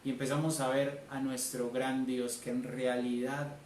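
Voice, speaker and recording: A man's voice: mid-range voice, flat delivery, unhurried, darkish tone. Emphatic Colombian male preacher in a roomy space. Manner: emphatic, repeats key phrases. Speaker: church elder preaching. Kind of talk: sermon. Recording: roomy, distant mic, faint noise.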